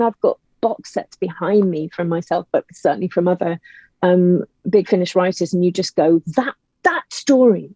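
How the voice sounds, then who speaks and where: A woman's voice: mid-range voice, warm tone, husky, dry recording. A woman guest writer talking casually and passionately, emphatic and full of exasperated rhetorical questions. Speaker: guest, audio drama writer.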